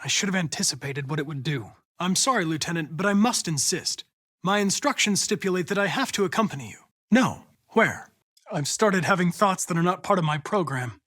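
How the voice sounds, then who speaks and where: Polite android detective, lively pitch. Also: male voice. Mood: calm, formal. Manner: formal, precise, measured phrasing. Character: polite, coolly logical young male android detective. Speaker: police investigator android. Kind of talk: video game voice acting.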